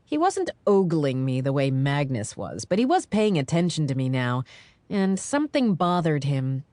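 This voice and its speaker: A woman narrating a dramatized audiobook in the first person, with wry, expressive storytelling. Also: low-pitched, normal speed, no echo.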